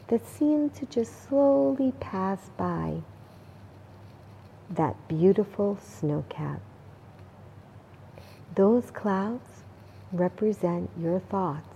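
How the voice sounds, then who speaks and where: A woman leading a guided meditation in a calm, deliberate manner, leaving long pauses between short phrases. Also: mid-range voice, creaky, slight background noise, low-quality recording. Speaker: meditation guide, spa owner and life coach.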